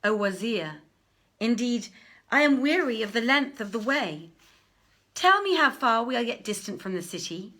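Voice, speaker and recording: A woman voicing a weary, lovesick young prince in a storybook, declaiming in a fervent, drawn-out manner. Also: on the high side, very animated, bright, forceful tone, pitched above the performer's own voice, heavily acted. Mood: weary pleading. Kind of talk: storybook verse recitation.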